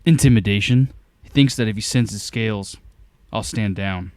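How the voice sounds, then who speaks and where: Male actor, determined inventor hero, lowish pitch, earnest, matter-of-fact, clean tone, audio drama. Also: normal speed, a little mellow, no room sound, lightly acted. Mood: resolute, commanding. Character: determined, clever inventor hero planning a battle. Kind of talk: scripted audio drama acting.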